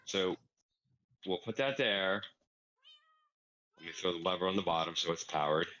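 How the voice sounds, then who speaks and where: Man, slightly low voice, crisp bright edge, casual gaming walkthrough, explains step by step. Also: normal speed, dull audio. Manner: thinks aloud, step-by-step explaining. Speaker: player explaining a farm build.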